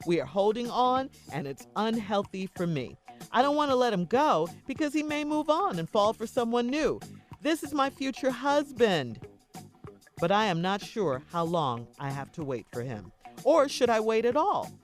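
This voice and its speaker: Female radio co-host, lively intonation, mid-pitched, reading copy over soft music. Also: normal speed. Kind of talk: radio hosting, reading letters and ads. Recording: faint music behind the voice.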